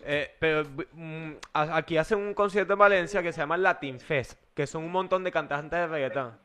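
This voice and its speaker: A man's voice: on the high side, normal speed, bright, forceful tone, clean tone. A man, joking and chatting casually as a podcast co-host. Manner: joking, slangy, laughs often. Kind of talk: casual chat.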